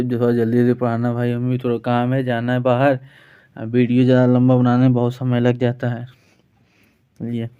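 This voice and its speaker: A man's voice: medium pitch, warm tone, smooth. A man with an Indian accent giving an informal exam-prep physics lesson as a teacher, working through questions. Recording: dull, lo-fi sound.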